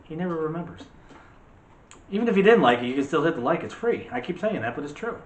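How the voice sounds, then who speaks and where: A man's voice: on the high side, sing-song, fast talker. A man co-hosting a movie discussion in a casual, joking, conversational way. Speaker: co-host of a movie discussion show. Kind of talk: casual chat about movies. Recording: roomy echo with light background noise.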